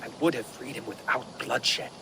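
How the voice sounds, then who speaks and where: An actor playing a troubled, earnest Viking jarl in a video game, speaking gravely. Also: male voice, medium pitch, normal speed, noisy background, natural voice. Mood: pained, grave. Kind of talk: video game dramatic acting.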